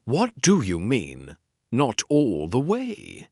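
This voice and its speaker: Detective's male companion, played by a male narrator, wary, earnest, questioning, animated intonation, storybook reading. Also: medium pitch, takes it slowly, no room sound, studio quality, full character voice. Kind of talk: dramatic audiobook character reading.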